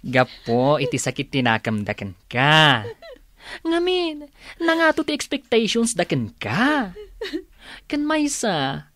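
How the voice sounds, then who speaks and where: Caring confidante, unhurried, lively intonation, earnest, consoling, radio drama. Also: female voice, low-pitched, no echo, heavily acted. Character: caring older female confidante, gently advising.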